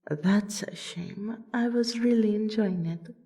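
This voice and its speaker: A woman's voice: mid-range voice, mellow, lightly acted. A woman playing a teasing, affectionate vampire friend in an ASMR roleplay, chatty and theatrical. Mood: playful, gracious. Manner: teasing, conversational, with dramatic pauses and exclamations. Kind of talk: ASMR roleplay audio drama.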